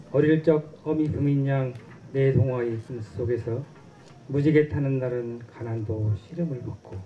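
A middle-aged man reading a poem aloud steadily at an outdoor festival, holding a microphone. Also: mid-range voice. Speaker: poetry reader. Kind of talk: poetry recitation. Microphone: handheld microphone. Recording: muffled outdoor recording, faint noise.

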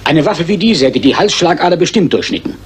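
A man acting a genial detective's sidekick in a radio crime drama. He talks fast in a fairly high, animated voice, with clear noise in the recording. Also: lightly acted. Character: affable, slightly bumptious detective's companion. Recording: noticeable background noise.

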